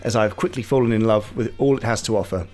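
A man's voice: lowish voice, fast, a little mellow, soft background music. A man co-hosting a podcast, reading a listener's letter in a playful, giggly way. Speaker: podcast co-host.

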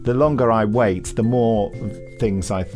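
Male podcast host, lowish voice, jokey and digressive, clear music bed behind. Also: measured pace. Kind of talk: casual rambling chat.

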